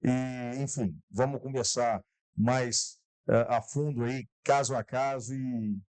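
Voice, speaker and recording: A man's voice: medium pitch, steady tone, slow, darkish tone, clear, resonant tone, no room sound. Casual Brazilian man hosting a live event. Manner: casual and chatty, talks to the audience directly. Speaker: event host.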